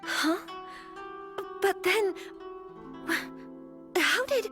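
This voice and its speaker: An actress playing a gentle, polite young noblewoman in a video game, soft-spoken, formal and hesitant. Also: very high voice, expressive, drawn-out words, over music, heavily acted. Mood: taken aback. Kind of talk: English video game voice acting.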